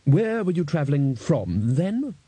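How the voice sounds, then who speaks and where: A man's voice: on the high side, lively intonation, unhurried, dark tone, husky, dry recording, pitched above the performer's own voice. A man voicing a blunt, exasperated, thick-skinned old wizard archchancellor in an audiobook. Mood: demanding. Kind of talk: audiobook character voice.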